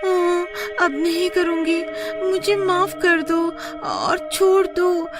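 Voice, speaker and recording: A woman's voice: very high voice, expressive, takes it slowly, voice pushed up, full character voice. A woman voicing a cheeky village woman in a cartoon horror story, exclaiming in a singsong way. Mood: frightened pleading. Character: cheeky, greedy village woman who turns frightened. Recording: music playing behind the voice.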